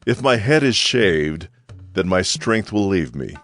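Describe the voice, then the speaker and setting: A man's voice: low voice, very animated, unhurried, fairly bright tone, soft background music, heavily acted. An actor playing a mighty, brooding Israelite strongman in a solemn scripture drama. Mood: grave, secretive.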